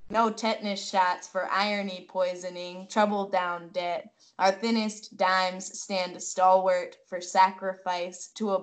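A woman reading poetry aloud in a deliberate, measured way on a video call from home. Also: fairly low pitch. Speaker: poetry reader.

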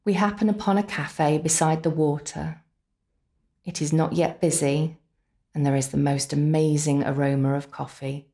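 A woman narrating a scripted guided visualisation in an unhurried, soothing way, with deliberate pauses. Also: low voice, fairly even intonation, mellow. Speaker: complementary therapist leading guided relaxation.

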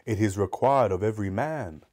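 A man's voice: fairly low pitch, normal speed, a little mellow, natural voice. A man voicing the solemn, mournful ghost of a dead businessman in a storybook reading. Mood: woeful. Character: grave, doomed male ghost of a dead businessman.

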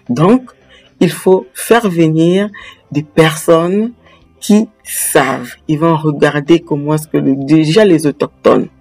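A woman's voice: low-pitched, darkish tone, breathy edge. A middle-aged woman from Congo giving a casual farming lesson on camera, asking the viewer direct questions. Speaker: life coach teaching farming. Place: large indoor office room. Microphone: webcam at desk.

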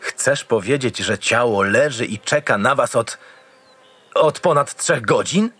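An actor playing a gruff, cynical and experienced homicide detective in an audio drama, curt and impatient. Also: male voice, medium pitch, measured pace, fairly bright tone, no room sound. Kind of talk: audio drama acting with noir narration.

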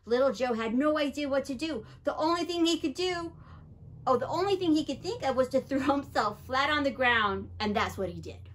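A middle-aged woman reading a picture book aloud to children in an animated way, talking to them directly with questions. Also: high voice, moderate pace, a little hiss or hum. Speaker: children's story time reader and wildlife educator. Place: small indoor library room with bookshelves.

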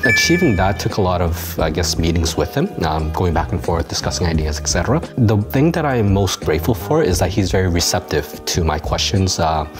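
A low-voiced man in his thirties from Canada talks through his experiences in a calm, articulate manner. He is recorded on a lapel mic in a studio set, with steady music playing beneath him. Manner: articulate, measured, with occasional fillers. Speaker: graduate alumnus interviewee. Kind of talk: interview answers. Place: dim studio set with bookshelves. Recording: music bed under voice. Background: soft background music.

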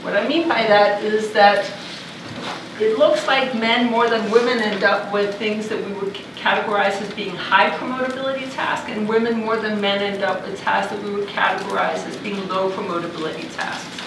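A woman's voice: mid-range voice, fairly even intonation, moderate pace, background noise. A middle-aged woman from Denmark giving a conversational university lecture in a large lecture hall, recorded from far off. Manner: conversational, thinking aloud with fillers. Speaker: visiting lecturer. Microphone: room mic far from speaker.

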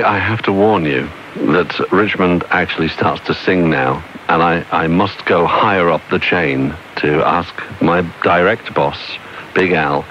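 Low-voiced British radio presenter, playful and teasing, off a dull, faintly hissing off-air tape. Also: male voice. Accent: British English. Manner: jokey, teasing, bouncy. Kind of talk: radio request show presenting. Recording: muffled taped shortwave broadcast with faint hiss.

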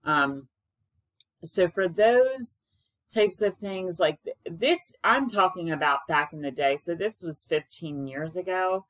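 A woman in her thirties, a caregiver, talking frankly to camera in a loud, projecting, conversational way, recorded on a webcam in a small room. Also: slow, dry recording. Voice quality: low-pitched voice that projects. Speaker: caregiver sharing experiences. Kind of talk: casual advice talk to camera. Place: small indoor room with plain wall.

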